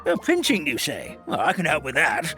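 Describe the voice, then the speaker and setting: A man's voice: a little high, wildly swooping pitch, moderate pace, bright, forceful tone, faint music behind, full character voice. A man voicing a forgetful, chubby old paper hamster who is an elder of a forest, fussy and stammering. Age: older.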